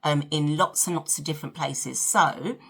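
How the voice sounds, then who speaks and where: A woman in her fifties with a South London accent and a low, bright voice. She talks straight to her viewers in a chatty, conversational way, like a casual vlog host getting ready on camera. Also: moderate pace, creaky, slightly rough. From South London, England. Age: 50s. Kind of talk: casual chat to camera during a get-ready-with-me.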